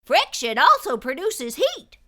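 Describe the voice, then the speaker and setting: Woman as a little pumpkin boy, very high-pitched, bright, eager explainer, storybook character. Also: wildly swooping pitch, unhurried, heavily acted. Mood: eager, explaining. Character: excited, clever little pumpkin boy who loves science.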